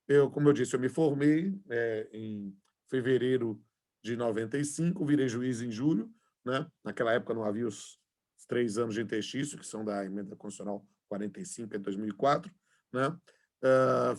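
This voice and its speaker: A man in his forties with a Bahian accent, a law professor chatting informally to introduce himself to an online class over a video call, with many fillers. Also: medium pitch. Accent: Bahian Portuguese. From Salvador, Bahia, Brazil. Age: 40s. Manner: chatty, filler-heavy, friendly. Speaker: law professor and labor judge.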